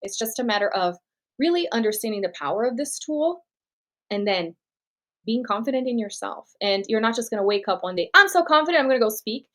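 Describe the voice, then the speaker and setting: A woman's voice: on the high side, expressive, a little fast, a bit bright. Confident woman speaker from Brazil. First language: Portuguese. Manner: confident, explanatory, laughs easily. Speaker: guest speaker. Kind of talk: interview answers.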